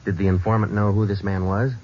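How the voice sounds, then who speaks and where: An actor playing a police sergeant who narrates the case in a terse, matter-of-fact way, in a 1940s radio drama. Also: male voice, on the low side, quick pace, slight background noise, lightly acted. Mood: flat. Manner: clipped, flat, matter-of-fact. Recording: dull old radio broadcast with music.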